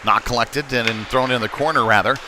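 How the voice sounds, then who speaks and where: A man with a mid-pitched voice gives live hockey commentary over clear rink noise. His delivery is folksy and chatty, picking up energy as the play develops. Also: fairly quick. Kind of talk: live sports commentary with casual asides. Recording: noisy live rink ambience.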